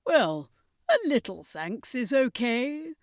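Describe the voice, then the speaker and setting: A woman's voice: a little high, very animated, takes it slowly, dry recording, clean studio sound, pitched above the performer's own voice. Woman playing a pompous male circus master hero. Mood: earnest. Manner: pompous, proclaiming.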